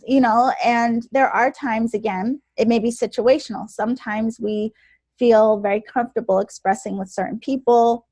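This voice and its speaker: A woman co-hosting a podcast in casual, animated chat, with a naturally soft voice. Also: mid-range voice, no room sound. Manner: chatty, animated, laughs often. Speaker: podcast co-host.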